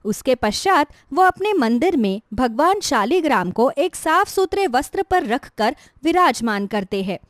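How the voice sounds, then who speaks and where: Female storyteller for animated moral tales, high lively voice, scripted devotional narration. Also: unhurried, few pauses, no echo, studio quality.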